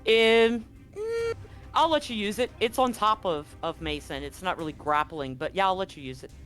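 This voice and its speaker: Male game master, fairly high voice, narrates scenes dramatically and handles rules casually. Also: animated, normal speed, a bit bright, soft background music. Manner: dramatic scene-setting mixed with casual rulings. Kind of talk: narrating a tabletop roleplaying game. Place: live online stream.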